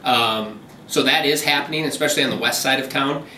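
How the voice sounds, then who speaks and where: A man's voice: medium pitch, a little fast, echoey room, far from the mic, slight background noise, rough recording. A man working as a commercial realtor, explaining local deals in a businesslike way during a phone interview. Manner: steady, businesslike explaining with frequent ums.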